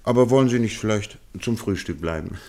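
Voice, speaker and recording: A man acts out a shrewd detective in a radio drama, with a low voice. He is courteous yet probing, weighing each question in a measured, thoughtful manner. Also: darkish tone, natural voice. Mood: brisk, decisive. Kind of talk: German radio play acting.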